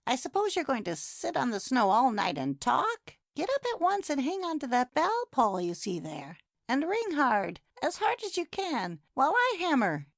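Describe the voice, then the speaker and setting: A brisk, practical male water rat from a storybook, a touch impatient, speaking in a high, lively voice with a gravelly edge, as a woman narrator puts him on. The sound is close and dry. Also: no room sound, exaggerated voice. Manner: brisk, bossy commands. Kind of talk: audiobook character voice.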